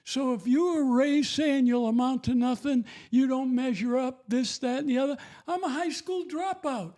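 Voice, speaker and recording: Older man, high voice, mellow tone, emphatic, repeats himself, preachy. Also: moderate pace. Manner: emphatic, repetitive, preachy storytelling. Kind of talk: testimony on faith and parenting.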